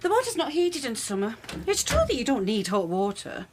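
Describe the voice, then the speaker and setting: A worldly café woman in a radio play. Her voice is high and swings freely in pitch, and she is frank, teasing and bluntly probing. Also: sing-song, brisk, background noise. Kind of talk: radio drama dialogue.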